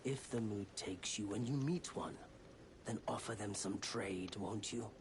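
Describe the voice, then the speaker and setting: An actor playing a weary, soft-spoken wandering merchant in a fantasy video game, speaking in a hushed, measured, confiding way. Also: male voice, on the low side, background noise. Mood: solemn, confiding. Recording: game dialogue with clear music behind. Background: music.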